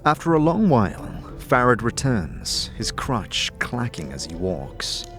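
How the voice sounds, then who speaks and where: A man narrating a fantasy audio drama in a measured, theatrical storytelling manner, addressing the listener directly. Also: mid-range voice, wildly swooping pitch, over music.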